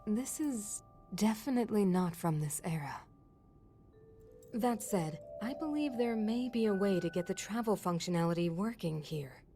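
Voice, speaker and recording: An actress playing a learned, scholarly non-human sage and older sister, explaining in a measured, thoughtful way. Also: female voice, medium pitch, lively intonation, warm tone, faint music behind, lightly acted. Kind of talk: game cutscene voice acting.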